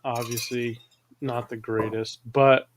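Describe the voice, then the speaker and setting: A man with a medium-pitched voice hosts a review show. He comments in an informal, conversational style, as in a casual chat. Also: flat delivery, slow, a little hiss or hum. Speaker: host reviewing animated audio dramas.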